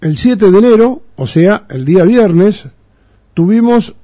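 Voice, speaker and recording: A man's voice: sits high, animated, a little slow, dark tone, dry recording. A man hosting a radio show, talking in an indignant, emphatic way and repeating his points. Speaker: radio host. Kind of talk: radio talk.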